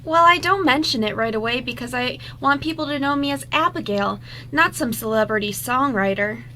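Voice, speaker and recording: A woman's voice: sits high, animated, fairly quick, bright, forceful tone, a little hiss or hum. A woman voicing a cheerful, eager young girl in an amateur radio play. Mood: upbeat, reassuring. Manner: perky, eager. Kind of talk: amateur radio script reading.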